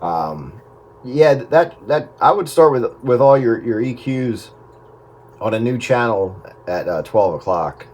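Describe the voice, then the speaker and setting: A man giving casual technical advice on the radio in a halting way. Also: mid-range voice, very animated, darkish tone. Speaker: radio hobbyist with recording background. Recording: radio chat with faint static.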